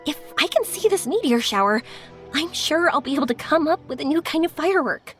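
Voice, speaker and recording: A woman voicing a cheerful, excitable and friendly young fireworks maker in a video game, bubbly and expressive. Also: high-pitched. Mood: earnest.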